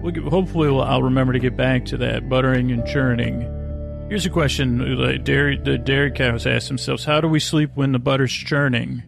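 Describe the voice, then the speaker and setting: A man with a creaky voice hosting a sleep podcast, rambling gently and lullingly, often breaking off and drifting into tangents. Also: mid-range voice, steady tone, over music. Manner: meandering, digressive, halting with self-interruptions. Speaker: podcast host. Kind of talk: rambling, soothing bedtime monologue.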